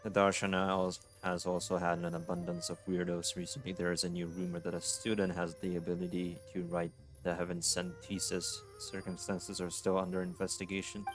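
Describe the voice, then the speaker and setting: Very low-pitched man live-streaming a game. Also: completely flat delivery, fairly quick, few pauses. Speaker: livestreamer playing a game. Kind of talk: casual live game reaction commentary. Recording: music behind the voice. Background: game music.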